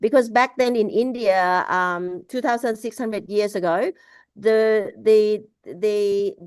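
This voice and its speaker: Woman teaching with halting restarts. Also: medium pitch, steady tone, darkish tone, smooth, dry recording. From Laos. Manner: halting, many restarts and fillers. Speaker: Buddhist speaker. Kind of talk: casual spoken teaching. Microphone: video call.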